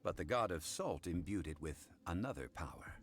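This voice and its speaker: A composed, formal guardian of contracts in a game. His voice is very deep yet expressive, and he speaks in slow, measured phrases. Faint game music runs underneath. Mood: stern. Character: calm, formal man, keeper of contracts.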